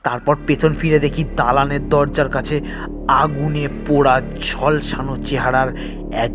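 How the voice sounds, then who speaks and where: A man's voice: medium pitch, fairly even intonation, a little slow, over music. A man narrating a scripted first-person horror story in a dramatic, suspenseful way.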